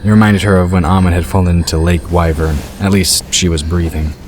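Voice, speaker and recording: A man's voice: low voice, fairly even intonation, a little fast. A man reading scripted fantasy fiction as the narrator of a story. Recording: clear background ambience noise.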